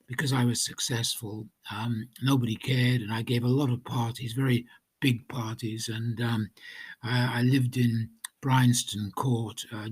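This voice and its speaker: A man from Wales telling his own story of addiction in a slow, hesitant way, with many pauses, ums and restarts. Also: mid-range voice, breathy edge. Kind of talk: personal testimony.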